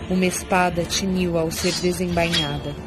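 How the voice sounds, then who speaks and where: Brazilian woman narrator, lowish voice, dramatic fantasy story reading, loud music behind. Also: steady tone, moderate pace. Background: prominent music.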